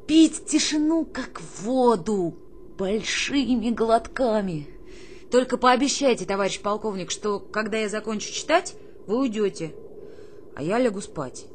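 A woman's voice: on the high side, expressive, measured pace, slight background noise. A woman playing a dreamy, plaintive young soldier with poetic, theatrical delivery in a radio drama. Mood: dreamy, lamenting. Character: young male conscript, a dreamy, pleading, whiny would-be playwright.

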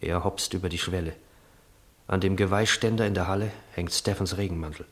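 A man's voice: low-pitched, fairly even intonation, fairly quick, fairly bright tone. A man narrating a literary radio drama as an observant storyteller, in precise, descriptive, clipped phrases. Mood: measured. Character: observant narrator of a brothel scene. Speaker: narrator of stage directions. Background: music.

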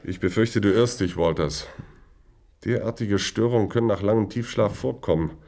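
A male actor as an earnest officer aboard a spaceship in a sci-fi radio play. His voice sits fairly low, and he speaks with patient, measured concern. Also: darkish tone, natural voice. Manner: measured, placating. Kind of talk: German science fiction radio drama acting.

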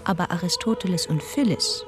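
An actress in a radio drama playing an inquisitive, fond deaconess who also narrates the story intimately. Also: female voice, fairly low pitch, fairly quick, music in the background, natural voice. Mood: calm narration.

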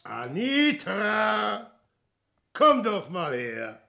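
An actor playing a boastful, lecherous adventurer posing as a prophet in a verse radio play, declaiming theatrically between musing and crowing. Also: male voice, on the high side, sing-song, slow, a bit bright, slightly rough, faint music behind, full character voice. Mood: lecherous, wheedling.

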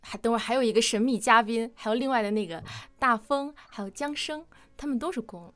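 Higher-voiced woman hosting a playful chat. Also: brisk. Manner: giggly, playful. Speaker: host. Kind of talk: casual interview chat.

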